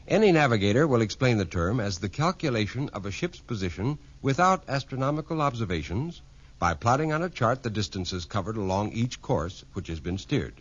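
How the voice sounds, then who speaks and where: Animated male announcer on dull vintage radio. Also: medium pitch. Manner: measured, explanatory. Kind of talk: scripted radio narration.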